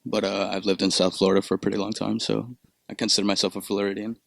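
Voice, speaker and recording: Chill young dude from peru, low voice, dry close sound, rambles with lots of ums and cussing. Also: male voice, flat delivery, quick pace, creaky, no room sound. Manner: laid-back, rambling with many fillers and profanity. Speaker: musician guest. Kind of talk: casual interview.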